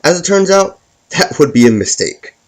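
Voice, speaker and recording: Animated man reading out a comic story. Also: fairly high pitch, normal speed. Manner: stumbles and repeats words while reading. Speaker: host and storyteller. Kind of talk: scripted comic story narration.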